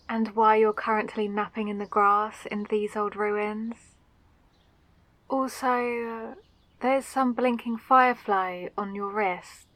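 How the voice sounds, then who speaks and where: A woman playing a gentle, curious female mage in a fantasy roleplay, speaking politely and soothingly with old-fashioned phrasing. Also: medium pitch, steady tone, takes it slowly, no room sound. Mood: gentle concern. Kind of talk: roleplay audio drama addressed to the listener.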